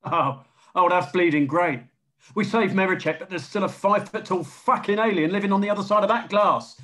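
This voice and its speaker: A man's voice: high voice, a little fast, sharp tone. An actor playing a hot-headed, sarcastic, foul-mouthed security man in a screenplay table read. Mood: sour, insistent. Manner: sarcastic, agitated.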